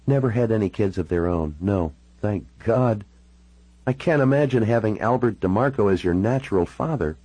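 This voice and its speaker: Audiobook role: troubled, candid woman, wry and informal, husky, lifted pitch male narrator performing. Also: animated, normal speed, warm tone, no room sound, poor audio. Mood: reminiscent. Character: troubled, sardonic young-to-middle-aged woman, hard-drinking and candid. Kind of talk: audiobook character dialogue.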